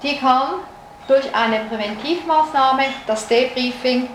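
A woman's voice: high-pitched, slow. A woman psychologist from Switzerland giving an explanatory lecture, illustrating her points with first-person examples. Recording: echoey room recording with noise.

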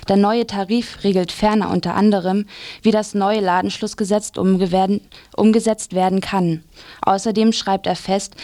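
A woman's voice: mid-range voice, darkish tone, no room sound. Female radio news reader, dry studio sound. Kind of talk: scripted news and commentary reading.

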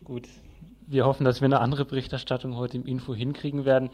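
A man's voice: mid-range voice, level tone, fast, warm tone. A man hosting a community radio news show, giving a semi-scripted live rundown of the programme.

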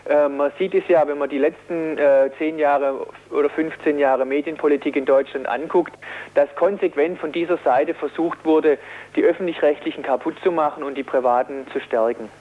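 Through slightly muffled, band-limited radio audio comes a man with a fairly high voice. He answers political interview questions spontaneously, hesitating often and repeating words as he goes. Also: fairly even intonation, moderate pace. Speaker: Green politician, media policy spokesman.

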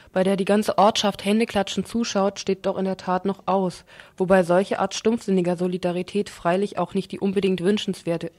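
Female radio newsreader, mid-pitched voice. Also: darkish tone. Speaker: radio news presenter. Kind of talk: scripted political news commentary.

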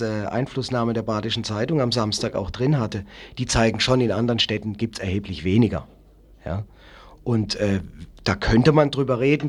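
A man's voice: medium pitch, husky. A man in a spontaneous political discussion, speaking in an opinionated, emphatic way and often tagging statements with a questioning word.

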